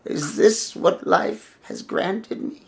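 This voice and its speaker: Pitiful old beggar played by a storyteller, bent and hungry, quavering, drawn-out pleas, gravelly edge. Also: medium pitch, pitched above the performer's own voice. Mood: pitiful, weary. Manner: slow, drawn-out pleading.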